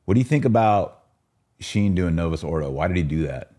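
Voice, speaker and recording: A man's voice: sits low, animated, a little fast, warm tone. An American man hosting a podcast in casual, informal chat, reading out and answering listener comments. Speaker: podcast host.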